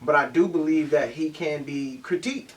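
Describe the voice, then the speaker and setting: Higher-voiced man, halting, off-mic. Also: distant mic. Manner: halting, many restarts and repeated words. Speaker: podcast co-host. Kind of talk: casual group discussion.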